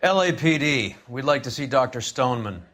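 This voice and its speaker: An actor playing a curt, pushy, skeptical police detective in a video game. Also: male voice, medium pitch, animated, fairly quick, fairly bright tone, slight background noise, lightly acted.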